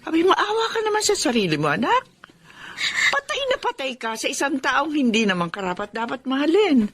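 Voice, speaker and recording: A woman's voice: high-pitched, very animated, a little fast, a bit bright. An actress playing a middle-aged Filipino mother in a radio drama, protective and exasperated, scolding in an emphatic, reproachful way. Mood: pained, reproachful.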